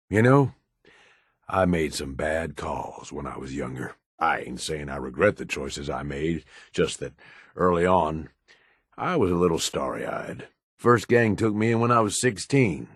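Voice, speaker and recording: Jaded middle-aged American raider for a game: deep, raspy, gruff and blunt, recorded close and dry. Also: male voice, no echo, exaggerated voice. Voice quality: husky, gravelly low voice. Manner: gruff, blunt, folksy tough talk. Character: jaded, foul-mouthed middle-aged male raider right-hand man. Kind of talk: video game companion dialogue.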